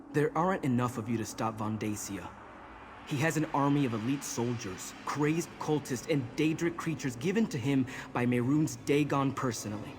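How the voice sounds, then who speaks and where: A defiant male prisoner in a fantasy game, voiced by a man speaks in a medium-pitched voice. He is dramatic and scornful with a wry edge. A faint ambient noise sits behind him. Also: lightly acted.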